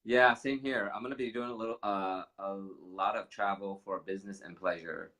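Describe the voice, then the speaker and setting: Man in his forties, low voice, chatty livestream host, a little nasal, video call from home. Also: fairly even intonation, normal speed, pushed and bright. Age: 40s. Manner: upbeat, chatty, reads comments aloud. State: slightly nasal from allergies. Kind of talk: casual livestream chat. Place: his living room at home.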